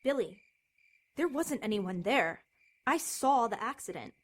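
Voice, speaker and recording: A woman's voice: a little high, very animated, unhurried. A woman playing a bossy, protective teenage big sister in an audio drama, snappy and intense. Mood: concerned, pleading.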